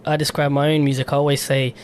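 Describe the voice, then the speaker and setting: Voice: medium pitch, level tone, fast talker, a little hiss or hum. A radio host, chatting casually and informally in slang while interviewing a guest, often chiming in with short affirmations. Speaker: radio show host and music artist.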